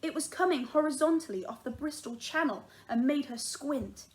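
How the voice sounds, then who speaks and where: A woman's voice: high voice, moderate pace. A woman reading a children's book aloud as a teacher does at storytime, narrating expressively and acting out the dialogue. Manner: animated, acts out the dialogue.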